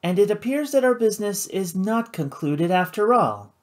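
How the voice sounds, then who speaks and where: A man voicing an elegant, cold woman who runs a casino, speaking in a poised, measured, formal way. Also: high-pitched, lively intonation, pitched above the performer's own voice. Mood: icy, commanding.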